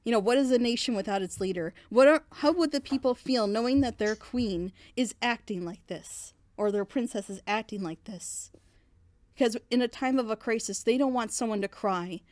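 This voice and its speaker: A woman playing a stern, dutiful princess mentor, admonishing in a measured way. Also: fairly high pitch, darkish tone, dry recording, close to an everyday voice.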